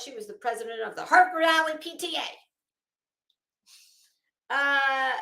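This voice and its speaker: A woman's voice: sits high, bright, forceful tone, echoey room. A woman hosting a live stream, reading aloud dramatically with mocking, drily sardonic commentary.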